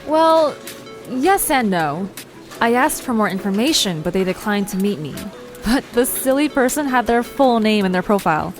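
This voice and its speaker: Lady playing a nosy young journalist girl, brisk and sure of herself, audio drama w/ soft music under. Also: a little high, big pitch swings. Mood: smug. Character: bold, nosy young woman, amateur journalist investigating a mystery. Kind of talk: conversational audio drama acting.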